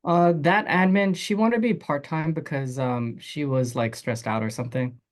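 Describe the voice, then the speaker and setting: A man's voice: fairly high pitch, normal speed. A man speaking in an offhand, hesitant way on a casual panel.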